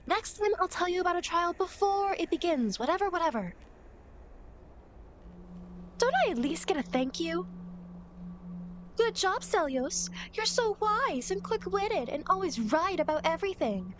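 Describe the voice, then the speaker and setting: A woman's voice: very high-pitched, animated, unhurried, pauses often, a little hiss or hum. A woman playing a playful, vain female demon who guides souls through the afterlife in an ASMR roleplay, teasing, smug and mock-indignant.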